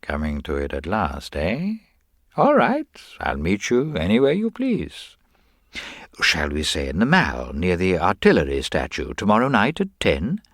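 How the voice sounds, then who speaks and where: A man playing a sly, low-voiced gang boss. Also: wildly swooping pitch, fairly bright tone, no room sound, studio quality, heavily acted. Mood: slyly amused. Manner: knowing, unhurried, speaks between his teeth. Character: sly male gang boss, slow and menacing.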